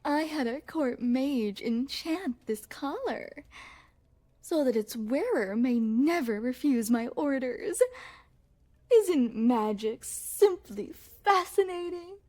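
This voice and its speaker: A high, swooping voice for a yandere princess, acted by a woman in an ASMR roleplay. She speaks slowly in regal, formal phrases, cooing sweetly at her beloved. Underneath the coyness she is possessive and menacing. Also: expressive, a little mellow, exaggerated voice. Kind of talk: ASMR roleplay, scripted monologue to the listener.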